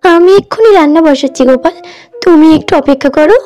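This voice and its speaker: A woman's voice: high voice, fast, warm tone, faint music behind, close to an everyday voice. A woman voicing a stern, worried elder sister from a poor village in a cartoon, given to scolding and questioning. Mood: welcoming. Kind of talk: cartoon voice acting.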